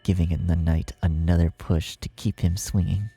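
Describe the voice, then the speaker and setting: Very dark, creaky-voiced male storyteller. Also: low voice, steady tone, moderate pace, soft background music. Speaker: fan fiction narrator. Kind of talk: scripted story narration.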